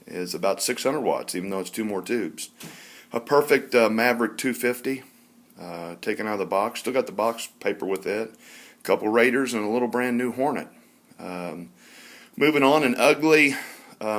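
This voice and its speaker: A man narrating an informal show-and-tell tour as a hobbyist collector, in a halting, matter-of-fact way. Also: lowish voice.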